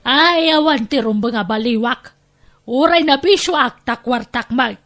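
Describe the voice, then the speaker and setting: A woman's voice: a little high, measured pace, a bit bright. A woman playing an ill-tempered, self-pitying wife and grandmother in a melodramatic audio drama, nagging and complaining. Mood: bitter complaining.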